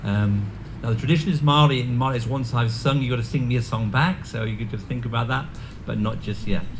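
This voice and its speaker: A man from North Wales with a Welsh accent, giving an earnest speech as a guest at a community ceremony, often pausing with ums and restarting his sentences. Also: mid-range voice, noisy background. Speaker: guest speaker. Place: community event venue.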